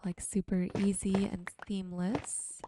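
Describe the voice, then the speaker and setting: A woman doing casual, soft-spoken ASMR commentary while playing a game, murmuring to viewers in an unhurried way. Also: mid-range voice, flat delivery, noisy background. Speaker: video creator and host. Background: music.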